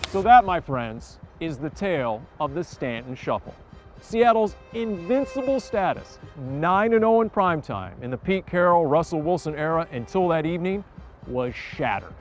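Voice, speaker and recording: An American man with a high voice and very lively pitch movement narrates a sports tale. His phrasing is theatrical and emphatic, as a scripted host, with faint music behind. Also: normal speed. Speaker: host and storyteller.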